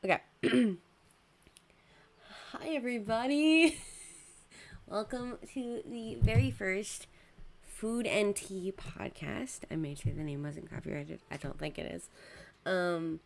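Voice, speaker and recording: A young woman hosting a casual streamed podcast. She giggles often between words, and her voice is worn from recent shouting. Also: mid-range voice, slow pace, creaky, long pauses. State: losing her voice. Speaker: podcast host and VTuber.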